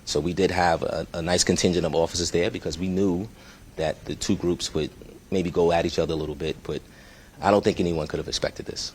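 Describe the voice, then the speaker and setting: A man in his forties, a police chief answering press questions in a measured, hesitant way with many fillers. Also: low-pitched, fairly even intonation, slight background noise.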